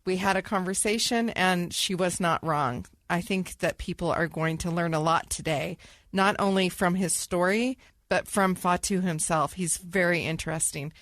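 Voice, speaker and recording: A woman's voice: on the low side, moderate pace, a bit bright, no echo, studio quality. A woman co-hosting a podcast, upbeat and chatty as she talks with a guest. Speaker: podcast co-host. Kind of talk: casual interview talk.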